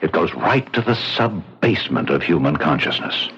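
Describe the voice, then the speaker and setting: An actor playing a self-assured, persuasive psychiatrist in a radio drama, measured and clinical as he lectures. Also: a bass voice, expressive, fairly bright tone, full character voice. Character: smooth-talking, self-assured male psychiatrist, coolly persuasive. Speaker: doctor. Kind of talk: 1970s radio drama acting. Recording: husky-sounding, muffled old radio recording.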